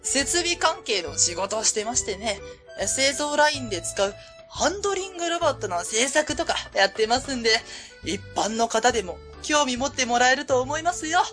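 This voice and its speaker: A woman's voice: high-pitched, very animated, moderate pace, a bit bright, soft background music. A woman playing a cheerful, welcoming small-factory boss, friendly and polite, in a radio drama.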